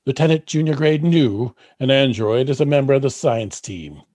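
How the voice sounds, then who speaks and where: A man's voice: medium pitch, animated, moderate pace, darkish tone, dry recording. Dry-sounding male radio comedy narrator. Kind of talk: scripted comic radio-drama narration.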